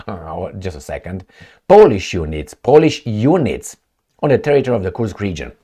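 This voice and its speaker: Commentator, male, low voice with swooping intonation, sarcastic and rambling. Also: sing-song, normal speed, warm tone, husky. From Romania. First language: Romanian. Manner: rambling, sarcastic, with many fillers and restarts. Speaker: political commentator. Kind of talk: casual solo commentary reading news aloud.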